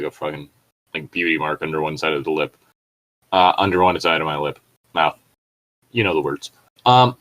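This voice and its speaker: A man's voice: a bass voice, a bit bright, rough recording. A man hosting a casual fanfiction reading, commenting with incredulous, sweary asides. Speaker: host.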